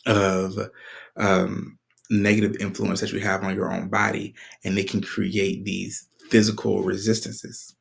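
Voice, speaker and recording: A man hosting a spiritual podcast in a casual, conversational way, clearing his throat often while his voice recovers. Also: fairly low pitch. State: recovering from a sore voice. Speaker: podcast host.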